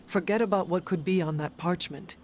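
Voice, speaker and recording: A performer voicing a calm, earnest resurrected warrior in a video game, with formal, measured, old-fashioned speech. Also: medium pitch, a little hiss or hum, close to an everyday voice. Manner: formal, measured, avoids contractions.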